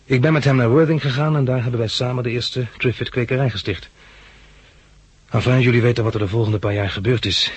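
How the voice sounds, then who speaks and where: A man's voice: mid-range voice, fairly even intonation, a little fast, husky, no room sound, lightly acted. An actor playing an eager, polite young plant enthusiast who tells his story in a 1960s Dutch radio drama. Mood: reflective narrating. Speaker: lead character and narrator.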